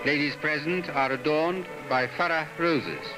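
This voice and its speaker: A man's voice: a little high, unhurried, low-quality recording. A man narrating a 1960s newsreel in a formal, stately, declamatory manner. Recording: 1960s newsreel soundtrack with music. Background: background music.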